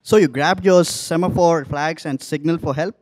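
A man's voice: a little high, normal speed, darkish tone, no echo, close to an everyday voice. A man voicing a curious, bookish young animal character in a children's puppet show.

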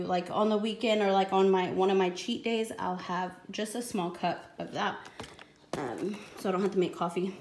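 A woman's voice: medium pitch, darkish tone. American mom-vlog style woman, 30s, informal chatty explaining, roomy phone audio, faint rustly packaging. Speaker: family vlogger. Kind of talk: casual grocery haul vlog chat. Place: indoor home kitchen at a counter. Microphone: handheld phone behind the camera. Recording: echoey room, crinkling package noise. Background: plastic packaging crinkles and clicks.

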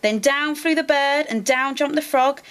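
A woman reading a rhyming children's picture book aloud in an expressive, deliberate, acted-out way. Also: sits high. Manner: animated, deliberate, acts out the story. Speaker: storybook reader.

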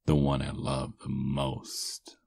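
An extremely deep-voiced vampire lover acted by a man, doting and playfully possessive. He murmurs very slowly and intimately in an ASMR roleplay. The sound is close and dry. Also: little pitch movement, creaky, dry recording, heavily acted. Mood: adoring. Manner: slow, intimate murmuring with soft chuckles. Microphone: close microphone, dry.

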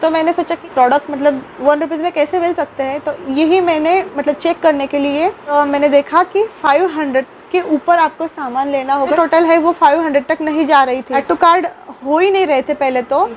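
A woman's voice: high voice, moderate pace, lo-fi sound, slight background noise. A young woman chatting casually through an unboxing vlog in a small room, talking into a phone camera with lots of fillers and restarts, mixing English words into her sentences. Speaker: vlogger.

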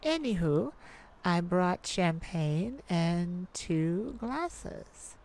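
A woman playing a low, slow, sultry thief. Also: big pitch swings, a little mellow, exaggerated voice. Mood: playful. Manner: teasing, drawn-out phrasing with dramatic pauses. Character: sultry, cocky, flirtatious female master thief. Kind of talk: ASMR romance roleplay acting.

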